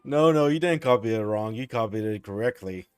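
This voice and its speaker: A sleepy man with a lowish, smooth voice chats loosely with his stream audience and chuckles often. His desk mic sounds dry and close. Music plays faintly underneath. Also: expressive, fairly quick, darkish tone, no room sound. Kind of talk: casual live-stream chat.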